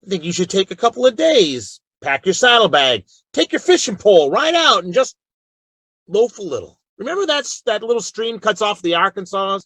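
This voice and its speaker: A man's voice: high-pitched, big pitch swings, moderate pace, a bit bright, dry recording, full character voice. A man playing a firm, loyal Old West marshal in a radio western, speaking in a frank, folksy, commanding way. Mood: kindly. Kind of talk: old-time western radio drama acting.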